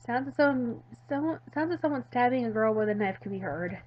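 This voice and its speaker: A woman's voice: fairly high pitch, brisk, lo-fi sound. A woman hosting a let's play, reading a visual novel aloud expressively with casual asides. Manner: animated, expressive.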